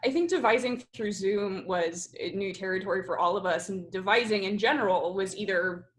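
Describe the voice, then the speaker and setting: A woman's voice: mid-range voice, fairly quick, a little hiss or hum. A woman who directs theatre, hosting an informal group discussion in a casual, conversational style. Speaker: host, theatre director and producer.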